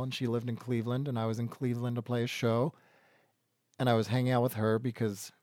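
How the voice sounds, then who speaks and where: A man's voice: mid-range voice, a little mellow, no room sound. A man, a band singer, telling a rambling story with wry humor between songs in a radio studio session.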